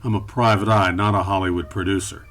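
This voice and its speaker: A man's voice: deep voice, fairly even intonation, fast talker, fairly bright tone. A man playing a world-weary, wisecracking private eye in a campy noir radio play, dry and wry. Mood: defiant. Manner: dry, wry, hard-boiled. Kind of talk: campy noir dialogue and narration.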